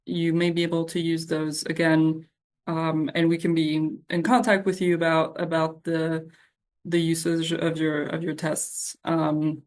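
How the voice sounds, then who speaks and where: A woman on a program's staff answering audience questions in an informal, halting, reassuring way. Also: deep voice, darkish tone.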